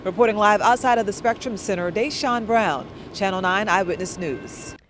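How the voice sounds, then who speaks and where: A woman's voice: mid-range voice, moderate pace, fairly bright tone, slight background noise. A woman giving a scripted live news report as a TV reporter. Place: outside an arena in a city.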